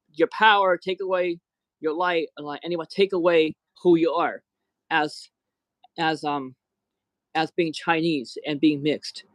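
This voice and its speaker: A man's voice: on the high side, no echo. Upset man ranting on a livestream. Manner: repeats words and restarts when upset. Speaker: livestream host. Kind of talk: angry livestream rant.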